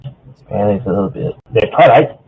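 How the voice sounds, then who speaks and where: A man's voice: fairly low pitch, animated, moderate pace, vocal fry, slightly rough. A man reading fan fiction casually with a group, stumbling over the odd word. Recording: thin phone-like voice chat.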